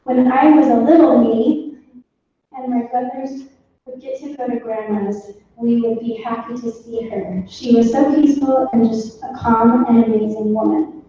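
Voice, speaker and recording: A middle-aged woman reading family tributes at a funeral in a halting, informal way. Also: on the high side, steady tone, dark tone. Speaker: granddaughter speaking for her family. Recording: echoey room, distant muffled mic, faint noise.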